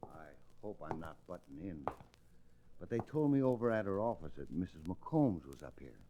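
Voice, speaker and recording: An actor playing a sly, scheming, smooth-talking cattle baron villain in an old-time radio Western. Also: male voice, deep voice, very animated, moderate pace, mellow, lo-fi sound, slight background noise. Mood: falsely polite. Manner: scheming, falsely reasonable, gloating.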